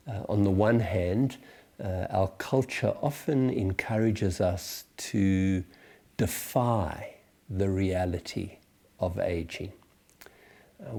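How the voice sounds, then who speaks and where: Man in his sixties, South African accent, dark relaxed tone, unhurried pace, hesitant pauses, reflective church teaching. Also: low voice. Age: 60s. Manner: measured, deliberate, with frequent hesitations and pauses. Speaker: church teacher leading a series. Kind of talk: reflective spoken teaching for small groups.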